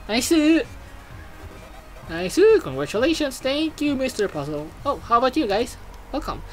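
An excitable gaming host giving casual commentary, exclaiming and swearing while reading game text aloud. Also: mid-range voice, moderate pace, soft background music.

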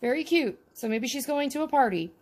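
A woman's voice: sits high, lively intonation, brisk, a little mellow. A woman casually narrating a miniature unboxing with chatty, wry asides. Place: indoors at a tabletop.

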